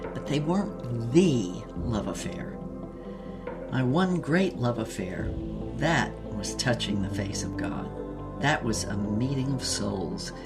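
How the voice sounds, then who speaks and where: Slow, worldly old woman film star. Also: very deep voice, animated, over loud music, exaggerated voice. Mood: wistful, fond. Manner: wistful, worldly, theatrical. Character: elderly woman film star recalling scandalous love affairs. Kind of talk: dramatic first-person storytelling.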